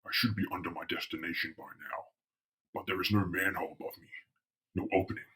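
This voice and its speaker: A man's voice: low-pitched, fairly even intonation, fast, sharp tone, husky, distant mic, heavily acted. A man voicing a huge, impatient stone monster who scorns everyone around him. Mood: gruff, scornful.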